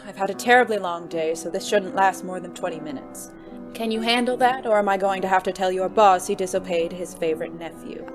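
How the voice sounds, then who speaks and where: A woman's voice: mid-range voice, fairly quick, close to an everyday voice. A woman playing a smug, imperious mob boss's nephew in a noir audio drama, silky and taunting. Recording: music behind the voice.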